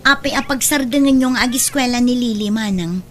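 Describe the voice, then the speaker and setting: An actress with a Filipino accent playing a responsible, earnest younger sister who works in the city, in a radio drama. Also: female voice, on the high side, moderate pace, music in the background, natural voice. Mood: earnest, firm. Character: responsible, caring working younger sibling from the city, firm. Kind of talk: Ilocano radio drama acting.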